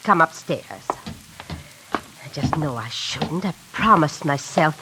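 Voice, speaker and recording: A secretive, devoted old landlady speaks softly and wistfully. Her pitch rises and falls with feeling. It is an old 1940s radio recording with a faint hiss. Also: lively intonation, slow. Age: older. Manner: soft, hushed, wistful.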